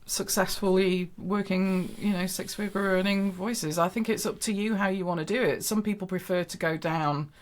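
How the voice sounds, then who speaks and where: A woman's voice: medium pitch, steady tone, normal speed, few pauses. A woman guest on a casual podcast, giving confident business advice with frequent restarts.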